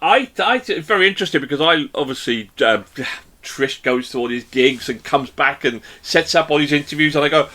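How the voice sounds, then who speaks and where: A man's voice: on the high side, brisk, bright, forceful tone. A male podcast host leading a joking interview chat, playful and teasing, rambling with fillers.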